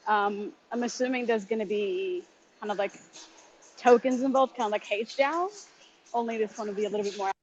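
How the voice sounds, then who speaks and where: A woman's voice: medium pitch, a little fast. A woman co-hosting a casual interview, asking questions in an informal, slangy way. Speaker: interviewer, co-host. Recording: dull online audio with faint noise.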